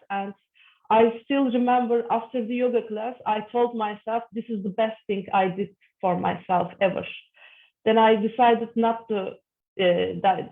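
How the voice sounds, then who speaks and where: A woman in her early thirties from Turkey, speaking with a Turkish accent as an interview guest, earnest and deliberate in her phrasing. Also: on the high side, phone-quality sound. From Istanbul, Turkey. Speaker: guest, psychologist and yoga teacher. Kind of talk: interview, personal account.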